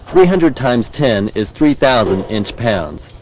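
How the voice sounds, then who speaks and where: An American man reading scripted training film narration in a measured, formal, instructional manner. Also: medium pitch, sing-song, a little mellow, a little hiss or hum. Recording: 1990s VHS training film soundtrack.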